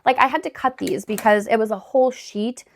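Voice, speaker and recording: Hoarse, raspy-voiced woman vlogging at home. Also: a little high, fairly even intonation, brisk. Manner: chatty, lots of "like" and "really". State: hoarse from recent illness. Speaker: homestead vlogger. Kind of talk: casual vlog chat. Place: indoors at home, sorting gardening totes.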